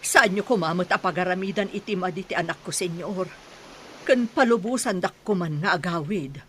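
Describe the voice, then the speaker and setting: A woman's voice: fairly low pitch, normal speed, a little hiss or hum, natural voice. A woman with a Filipino accent playing a humble, worried mother defending her son in a radio drama. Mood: pleading, indignant.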